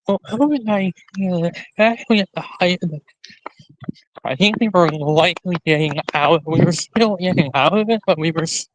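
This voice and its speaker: A man hosting a casual livestream, talking to viewers in a rambling, halting way, often repeating and restarting his phrases. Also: high-pitched, slight background noise, low-quality recording. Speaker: live stream host.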